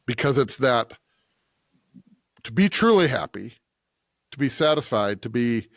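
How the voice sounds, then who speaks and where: Medium-pitched man reflecting on scripture, dry sound. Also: big pitch swings, unhurried, no room sound. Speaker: podcast co-host.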